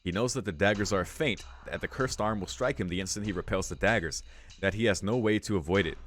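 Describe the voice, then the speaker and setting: A man reading a visual novel's story aloud for a let's play, breaking into casual, excitable reactions with some swearing. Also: on the low side, fast, a little hiss or hum. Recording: music behind the voice.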